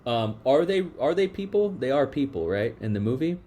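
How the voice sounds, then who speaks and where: A man's voice: medium pitch, big pitch swings, moderate pace, warm tone. A man hosting a podcast interview, asking long questions with many restarts and fillers. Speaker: podcast host. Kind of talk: casual interview.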